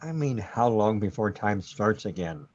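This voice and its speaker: Male actor as desperate fretful man, low husky voice, faltering and pleading, radio drama, muffled recording. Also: lively intonation, unhurried, a little mellow, dry recording. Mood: desperate, panicked. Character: panicking man lost in the void, desperate and fretful. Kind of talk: radio play acting.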